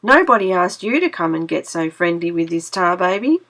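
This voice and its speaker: A woman's voice: fairly low pitch, lively intonation, moderate pace, a bit bright. A woman voicing a sly, gloating male fox with a smug drawl. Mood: mocking.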